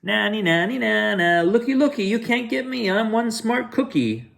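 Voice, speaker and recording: A man's voice: sits high, animated, moderate pace, vocal fry, fairly bright tone, pitched above the performer's own voice, heavily acted. A man voicing a boastful little cookie who chants teasing rhymes in a sing-song way. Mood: taunting.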